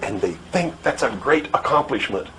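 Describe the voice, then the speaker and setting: A middle-aged American man giving an impassioned lecture, emphatic and full of rhetorical questions and deliberate repetition. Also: medium pitch, animated, a bit bright. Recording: noisy old talk recording.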